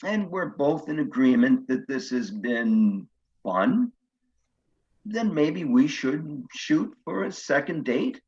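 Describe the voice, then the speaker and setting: A man's voice: fairly high pitch, big pitch swings, takes it slowly, a little mellow, full character voice. An actor playing a courteous, precise widowed grandfather courting on a video date in a stage comedy, earnest and a little formal, with dull, muffled sound.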